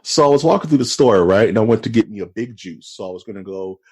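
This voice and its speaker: A man co-hosting a casual podcast, animated and joking, often calling his co-host "dude". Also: lowish voice, fast, husky.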